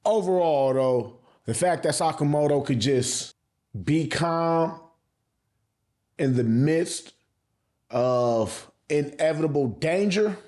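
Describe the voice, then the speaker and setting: High voiced guy doing a hype anime review, super slangy, cusses a lot, hammers his points. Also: expressive, takes it slowly, darkish tone. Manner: slangy, heavily profane; emphatic, repeats phrases for effect. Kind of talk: casual hype review commentary.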